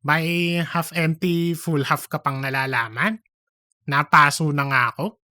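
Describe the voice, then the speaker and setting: A woman's voice: deep voice, unhurried, sharp tone, dry recording, pitched below the performer's own voice. Anxious male office underling played by a woman; deferential, touchy, comic audiobook, close dry recording. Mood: irritated. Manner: deferential, peppering replies with honorifics. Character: nervous, eager-to-please male office underling, easily irritated.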